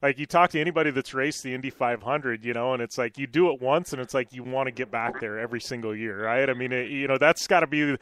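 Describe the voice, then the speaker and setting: A man's voice: medium pitch, quick pace, few pauses, a bit bright, no room sound. A man hosting a casual radio interview, chatty and rambling through his questions with many fillers. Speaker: radio show host.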